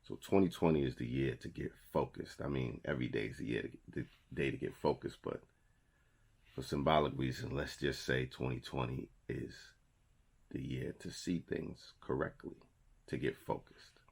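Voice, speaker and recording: A man's voice: a bass voice, normal speed, gravelly. A man in his thirties with a New York accent, giving a motivational talk to camera in a conversational, emphatic way and repeating his key phrases. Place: small indoor studio with a logo wall.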